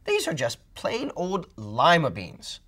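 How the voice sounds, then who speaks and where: A man voicing a plump, sweet old woman healer in a storybook, speaking gently and brightly. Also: fairly high pitch, huge pitch swings, slow, slightly rough, pitched above the performer's own voice, exaggerated voice. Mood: kindly.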